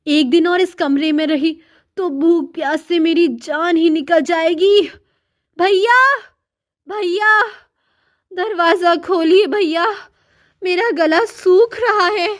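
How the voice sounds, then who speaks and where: A woman acting a high-voiced, humble poor sister. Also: slow. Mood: desperate. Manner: earnest, pleading, deferential. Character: humble, devoted poor sister in old clothes. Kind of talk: Hindi moral-story cartoon dialogue.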